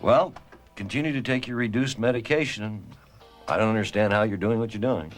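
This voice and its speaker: A man's voice: on the low side, brisk, soft background music, close to an everyday voice. A man playing a puzzled, sceptical hospital doctor, questioning and baffled, in a scripted dramatization.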